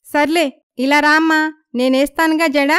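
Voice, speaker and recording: A woman voicing a friendly, flattering village sister-in-law who gossips, in a moral story. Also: high-pitched, measured pace, no room sound, clean studio sound, pitched above the performer's own voice. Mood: caring, disdainful.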